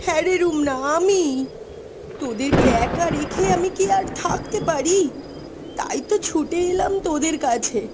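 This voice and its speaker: A woman's voice: extremely high pitch, a little slow, background noise. A woman playing an eerily tender, coaxing mother in a ghost story, with a trembling, plaintive voice. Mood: soft, reassuring. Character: mother come home at night in a storm, eerily tender, coaxing. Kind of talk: dramatised Bengali ghost story acting.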